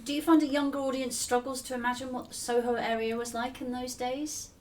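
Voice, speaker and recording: Distant, higher-voiced woman interviewer over noise. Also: level tone, fairly quick, a little mellow. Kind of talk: conversational literary interview. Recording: distant mic with clear background noise.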